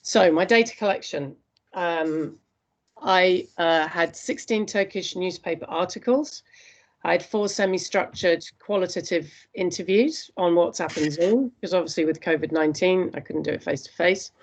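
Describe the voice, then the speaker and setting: A woman presenting her postgraduate dissertation research in an earnest, steady academic talk, with frequent hesitations. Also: fairly low pitch, normal speed.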